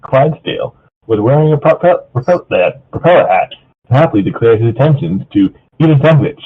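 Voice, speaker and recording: A man's voice: medium pitch, animated, a little fast, husky. A man reading fan fiction casually with a group, stumbling over the odd word. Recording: thin phone-like voice chat.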